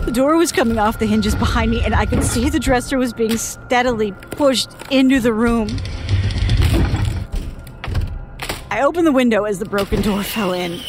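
A woman playing a terrified young female survivor in an audio horror drama, recounting events in a halting, haunted way with stammered repetitions. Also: a little high, noisy background, close to an everyday voice. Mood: horrified, haunted. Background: music.

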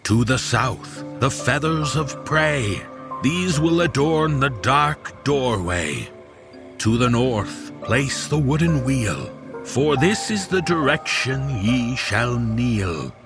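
Gravelly, slow-speaking ritual spirit, solemn and ominous, radio horror, loud music underneath. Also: male voice, mid-range voice, sing-song, exaggerated voice. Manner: solemn, incantatory, deliberate. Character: ominous male ritual spirit reciting rhymed instructions. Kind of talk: old time radio horror acting.

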